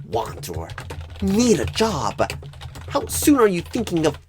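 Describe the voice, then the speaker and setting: A man playing a clueless, bumbling job recruiter in an ASMR roleplay interview, with a husky voice that often slips toward a whisper and halting, rambling phrasing full of odd pauses and stresses. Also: a little high, huge pitch swings, noisy background, full character voice. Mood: probing, halting.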